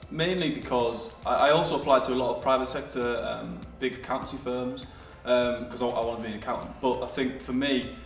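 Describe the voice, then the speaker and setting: A young man from Yarm talks fast with a gravelly edge, restarting his sentences as he answers interview questions as a graduate trainee. He sounds set back from the mic in an echoey room, with faint music behind. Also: mid-range voice, fairly even intonation, far from the mic. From Yarm, England. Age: young adult. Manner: quick, with hesitant restarts.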